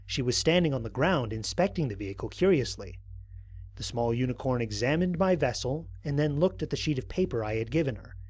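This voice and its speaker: A man acts out a curious space explorer dictating his mission log in a sci-fi audio drama. The voice is slightly husky and mid-pitched, with lively swings as he muses in bemusement over what he has found. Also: close to an everyday voice. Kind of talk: dramatic first-person mission log narration.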